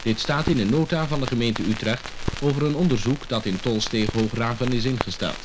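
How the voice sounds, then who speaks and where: Male Dutch radio newsreader, scripted bulletin delivery, mid-range voice, old lacquer disc with clear surface noise. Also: mid-range voice, darkish tone. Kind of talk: scripted radio news reading. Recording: noisy mid-century lacquer disc recording.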